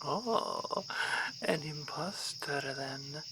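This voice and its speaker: Woman playing a slow-spoken, haughty vampire baroness. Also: low-pitched, animated, fairly bright tone, a little hiss or hum, full character voice, low-quality recording. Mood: coolly probing. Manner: formal, courtly, measured wording. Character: refined, haughty vampire baroness, courteous and knowing. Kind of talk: ASMR roleplay acting.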